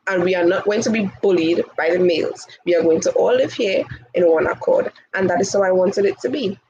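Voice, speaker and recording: A woman's voice: lowish voice, a little fast, darkish tone, lo-fi sound, a little hiss or hum. A woman in her twenties from Tobago with a Tobagonian accent, talking outspokenly and laughing often in a casual interview. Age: 20s. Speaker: interview guest, hall chair.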